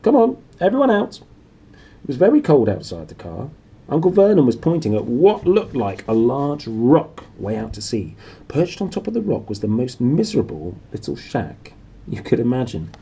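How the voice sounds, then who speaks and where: A man reading a children's novel aloud as an animated storyteller. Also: mid-range voice, normal speed, gravelly, slightly rough. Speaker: storybook reader.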